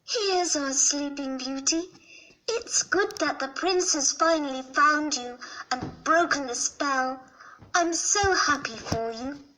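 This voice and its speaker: A woman reading a fairy tale aloud for children in a gentle, clear way. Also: high-pitched, takes it slowly, poor audio.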